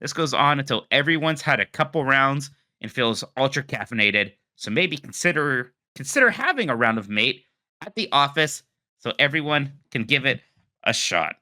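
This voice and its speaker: A man's voice: mid-range voice, normal speed, no room sound, clean studio sound. A man co-hosting a casual podcast who reads listener emails aloud and pokes fun at his own stumbles. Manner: animated, self-mocking when stumbling over words. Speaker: podcast co-host.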